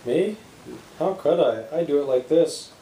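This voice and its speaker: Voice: medium pitch, animated, unhurried, warm tone, close to an everyday voice. A parent voicing innocent storybook farm animals, surprised and matter-of-fact in their denials. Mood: surprised, protesting. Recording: roomy home recording with background noise.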